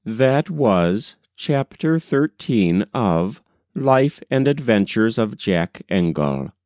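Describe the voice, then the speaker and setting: A man reading a novel aloud as an audiobook, in an expressive, measured storytelling manner on a close mic. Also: on the low side, no room sound. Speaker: audiobook reader. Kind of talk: audiobook narration.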